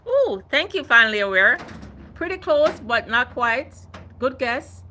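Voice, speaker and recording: A woman in her fifties hosts a relaxed gardening livestream and talks in a lively, chatty way. She often stops to read out viewer comments. Her voice is bright and crisp, and there is a faint hum of room ambience behind her. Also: on the high side, unhurried. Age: 50s. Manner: animated, laughing, reading out viewer comments. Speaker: gardening channel host. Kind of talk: casual livestream chat.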